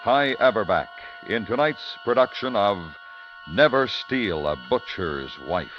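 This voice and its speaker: A man's voice: lowish voice, animated, unhurried. American male vintage radio announcer over music. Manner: polished, formal, dramatic. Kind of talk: 1950s network radio announcing, scripted. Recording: dull vintage 1950s radio recording, music behind.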